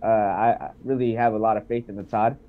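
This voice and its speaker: A man's voice: fairly low pitch, steady tone, quick pace. Congested man on a tinny video call. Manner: hesitant, many ums. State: congested from being sick. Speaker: guest. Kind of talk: casual chat on a call.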